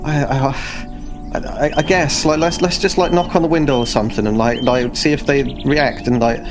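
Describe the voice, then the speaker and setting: A man playing a tabletop role-playing game, improvising in character in a halting, repetitive, earnest way. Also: mid-range voice, fairly even intonation, over music.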